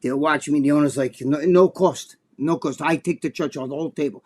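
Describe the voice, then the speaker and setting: Older New Jersey man, podcast co-host, foul-mouthed animated storyteller with a slightly higher voice. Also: a little fast. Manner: animated, profane, acts out quotes in a high voice. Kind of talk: casual storytelling chat.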